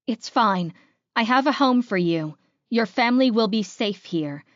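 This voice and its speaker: A woman's voice: on the high side, animated, unhurried, no room sound, lo-fi sound, pitched above the performer's own voice. A woman voicing a kind, reassuring female pack leader in an audiobook. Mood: tearful relief.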